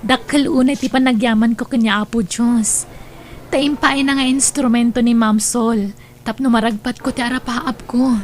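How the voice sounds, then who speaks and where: A young woman voicing a generous, cheerful niece who speaks warmly. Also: on the high side, fairly even intonation, moderate pace, slight background noise, natural voice. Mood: grateful. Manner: warm, cheerful, calls out loudly. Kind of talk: audio drama acting.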